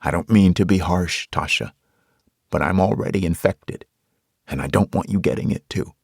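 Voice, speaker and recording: A man voicing a wounded, resolute tough man who talks gruffly and bluntly. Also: low voice, moderate pace, darkish tone, dry recording, clean studio sound, exaggerated voice. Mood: apologetic.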